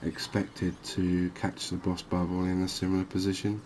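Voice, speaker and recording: A man giving calm, unhurried ASMR-style commentary on a fishing game, explaining things as he plays. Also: sits low, slight background noise. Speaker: gaming video host.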